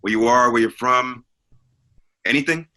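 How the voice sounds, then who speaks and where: A man's voice: medium pitch, normal speed, pushed and bright. A man reading dialogue casually at an online table read. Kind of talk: casual chat and script reading. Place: on a video call.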